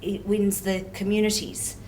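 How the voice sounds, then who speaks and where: A woman's voice: medium pitch, fairly even intonation, normal speed, mellow, a little hiss or hum, low-quality recording. A woman barrister sharing her observations thoughtfully in a panel discussion.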